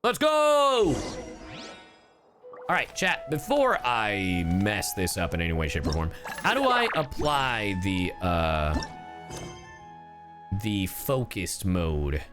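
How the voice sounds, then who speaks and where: A man's voice: sits low, wildly swooping pitch, takes it slowly, long pauses. A man streaming a game and chatting casually with viewers, playful and exclamatory as he reacts. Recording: game music behind the voice. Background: video game music.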